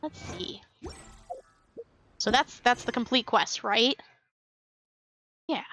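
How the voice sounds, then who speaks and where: A woman's voice: a little high, expressive, takes it slowly, bright, forceful tone, a little hiss or hum. A woman livestreaming a game, chatting casually and cutely to her viewers as she plays. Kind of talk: casual livestream chat while playing.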